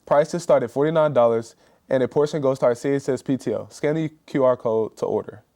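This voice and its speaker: Young man, mellow tone, student news anchor, steady read-aloud announcements. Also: medium pitch, brisk.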